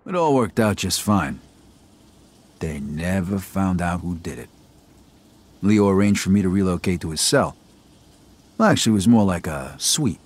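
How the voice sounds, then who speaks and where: An actor playing a young streetwise American mobster, cool and world-weary, in a video game. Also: male voice, deep voice, lively intonation, normal speed, long pauses, darkish tone. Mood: wry, reflective. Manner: casual, understated tough-guy talk. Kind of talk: game cutscene acting and retrospective narration.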